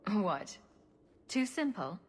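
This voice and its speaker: An actress playing a wry, confident female adventurer in a video game, brisk and breezy. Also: mid-range voice, sing-song, slightly rough, full character voice. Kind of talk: video game character acting.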